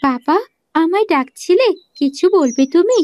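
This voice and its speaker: A woman's voice: very high voice, animated, a little slow, darkish tone, slight background noise. A woman playing a meek, obedient young village girl in a cartoon fairy tale, polite and submissive.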